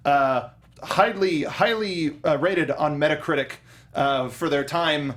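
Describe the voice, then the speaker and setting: A man's voice: on the high side, takes it slowly, fairly bright tone. Enthusiastic young man hosting a film chat. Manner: enthusiastic, rambling with restarts. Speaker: podcast co-host. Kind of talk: casual film review chat.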